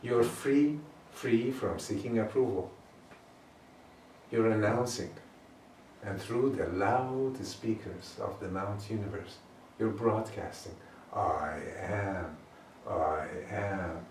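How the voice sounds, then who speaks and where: Slow, low-voiced man guiding meditation. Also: darkish tone, a little hiss or hum. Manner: slow, drawn-out chanting of phrases. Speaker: meditation guide. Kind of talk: guided meditation. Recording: echoey room, mic at a distance.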